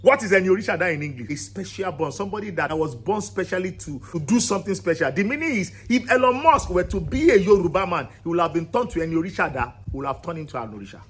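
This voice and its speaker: A man's voice: high-pitched, animated, fast talker, few pauses. Rousing man, emphatic lists. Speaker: founder of a cultural channel. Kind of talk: impassioned monologue to camera.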